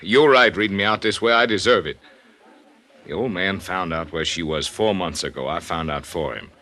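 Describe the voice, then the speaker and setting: Deep-voiced, remorseful American tough guy. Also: pushed and bright. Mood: confessing. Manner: halting, confessional. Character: remorseful, lovesick hired man, tough but shaken. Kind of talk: 1950s radio drama acting.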